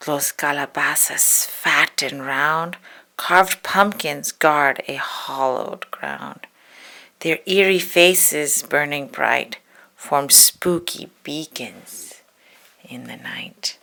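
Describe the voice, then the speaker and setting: A woman storyteller with a low, creaky voice reads a spooky children's poem at a very slow pace. She draws out her words dramatically and slips into hushed whispers. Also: animated. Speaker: storybook reader.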